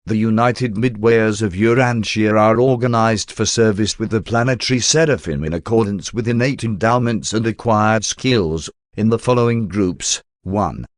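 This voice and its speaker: A man narrating a scripted text in a measured, formal way, like an audiobook reader. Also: fairly low pitch, dry recording, studio quality.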